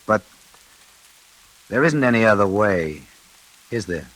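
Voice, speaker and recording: An actor playing a quiet, menacing night elevator man in an old-time radio suspense drama, speaking slowly and insinuatingly. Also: fairly low pitch, animated, heavily acted, low-quality recording. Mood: quietly menacing. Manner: slow, insinuating.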